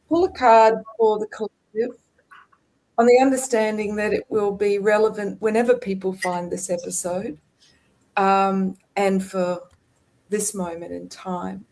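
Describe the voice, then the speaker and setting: Slow, reverent woman podcast host. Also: mid-range voice, a little mellow, low-quality recording. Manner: slow, reverent, ceremonial delivery. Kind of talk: spiritual podcast, leading an invocation.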